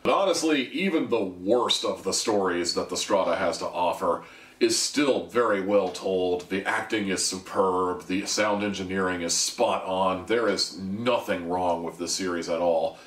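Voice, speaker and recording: A man's voice: low voice, measured pace, a bit bright. A man giving a casual, candid review monologue to listeners, with conversational asides. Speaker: audio drama reviewer. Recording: echoey room, distant microphone.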